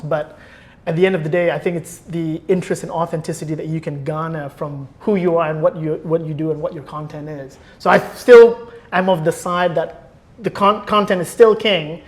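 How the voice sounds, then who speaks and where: A man speaking as a podcast guest, explaining his opinions in a thoughtful, conversational way and often restarting phrases. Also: a little high. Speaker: podcast guest, former radio producer. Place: indoor podcast recording.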